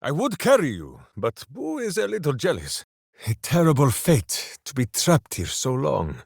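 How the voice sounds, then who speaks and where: Boisterous, wildly animated heroic berserker. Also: male voice, on the high side, normal speed, creaky, husky, no echo, studio quality, full character voice. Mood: earnest. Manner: grandiose third-person declamation, earnest and theatrical. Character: big-hearted, boisterous, simple-minded heroic berserker ranger. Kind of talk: video game character voice lines.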